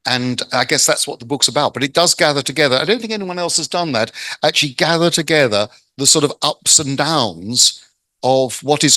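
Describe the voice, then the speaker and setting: A man, the invited speaker and author, giving a measured, thoughtful talk with many ums. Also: medium pitch, sharp tone, no room sound. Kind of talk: talk on Buddhist scripture.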